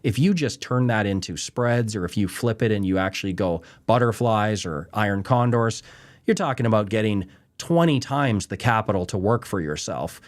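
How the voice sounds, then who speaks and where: Low-voiced man casually explaining trading. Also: lively intonation, normal speed. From Canada. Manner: conversational, emphatic, lots of "right?" and "you know". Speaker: trading show host answering viewer questions.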